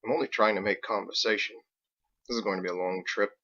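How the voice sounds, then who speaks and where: A man's voice: on the low side, steady tone, fast talker, sharp tone, dull audio. A man voicing a proud, naive knight in an audiobook, formal and prim in his speech. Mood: sulky. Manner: formal, prim, indignant, strutting. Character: proud, naive armored knight, an earnest male outsider. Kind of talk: audiobook character acting.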